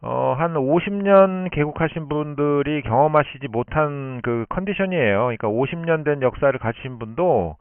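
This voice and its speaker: Male ham operator, polite explainer, smooth clear voice through a thin, phone-like shortwave signal. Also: on the high side, expressive, normal speed, few pauses, no room sound. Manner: explanatory, polite formal speech. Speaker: amateur radio operator. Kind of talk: explanatory radio contact talk. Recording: muffled narrowband shortwave radio transmission. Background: shortwave radio link.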